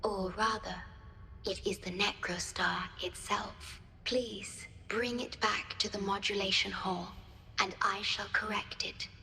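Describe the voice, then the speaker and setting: An actress playing a gentle, solemn and devoted female guardian in a video game, speaking formally in measured, breathy phrases. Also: medium pitch, fairly even intonation, reverberant. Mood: calm, earnest. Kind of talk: scripted video game cutscene acting.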